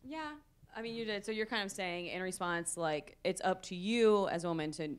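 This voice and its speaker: A woman interviewing a guest on a podcast, asking measured questions. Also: lowish voice, clean tone.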